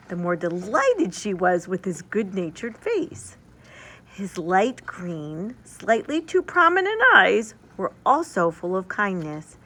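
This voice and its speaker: A woman reading a children's story aloud like a teacher, leaning deliberately on descriptive words. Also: medium pitch, a bit bright, a little hiss or hum.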